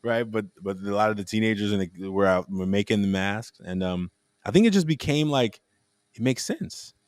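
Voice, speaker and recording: A man hosting a casual conversation, telling stories in a rambling, animated way with frequent restarts. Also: on the low side, normal speed, no room sound, clean studio sound. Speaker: podcast host.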